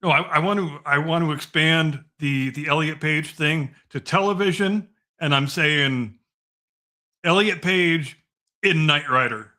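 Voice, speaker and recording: Bright, forceful higher-pitched man. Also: unhurried. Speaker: podcast co-host. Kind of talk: casual comedic banter.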